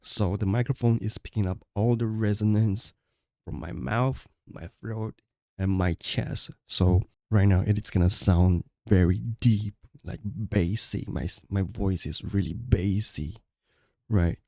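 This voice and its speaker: A man with a fairly low voice talks through a tutorial informally. He explains things casually and repeats points for emphasis, speaking into a studio mic in a home room. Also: fairly even intonation, measured pace, creaky, mellow. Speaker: audio tutorial presenter. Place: small untreated home room with furniture. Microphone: close studio microphones, condenser then dynamic.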